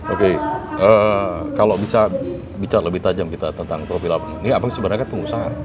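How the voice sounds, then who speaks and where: A man hosting a talk show interview, brisk and quick to affirm with short interjections. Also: low-pitched, over loud music.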